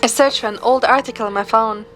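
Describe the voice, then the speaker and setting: A woman with a higher-pitched voice narrates a scripted story in the first person. Her storytelling is measured and descriptive, set over music. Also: steady tone, a bit bright.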